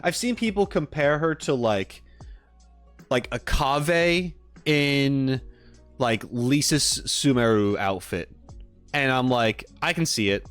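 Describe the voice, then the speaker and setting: A man's voice: mid-range voice, expressive, slow pace, a bit bright, smooth, faint music behind. A man chatting casually as a livestreamer, rambling and slangy as he talks to his viewers. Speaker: streamer hosting a live chat.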